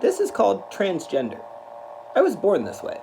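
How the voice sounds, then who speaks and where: A man's voice: sits high, huge pitch swings, normal speed, gravelly. Earnest man reading aloud, noisy recording. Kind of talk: read-aloud of a children's picture book with spoken introduction.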